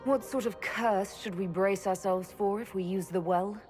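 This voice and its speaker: A woman's voice: mid-range voice, fairly even intonation, normal speed, darkish tone, soft background music, lightly acted. A woman playing a direct, composed elf mage leader in a fantasy game, plain-spoken and controlled. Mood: probing. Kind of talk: fantasy game dialogue acting. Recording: long hall reverb.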